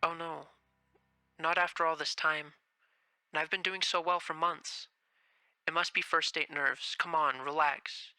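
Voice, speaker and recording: A man voicing a shy, earnest young female rabbit in an amateur dramatic reading, speaking softly and from the heart. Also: on the high side, steady tone, measured pace, pauses often, clear, resonant tone, no echo, exaggerated voice. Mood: anxious. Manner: soft, heartfelt, anxious inner murmuring. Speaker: voice actor in a fan reading.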